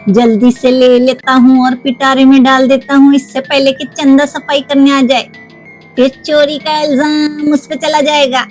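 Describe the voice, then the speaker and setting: A woman's voice: high-pitched, level tone, unhurried, soft background music. A woman voicing a sly, greedy male cook in a toy cartoon, gloating as he talks to himself.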